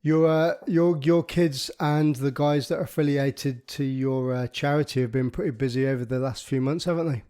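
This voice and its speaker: Matey surf-podcast host chatting. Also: male voice, medium pitch, fairly even intonation, normal speed, few pauses, dark tone, smooth. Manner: matey, chatty questions with tag endings. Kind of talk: casual interview chat.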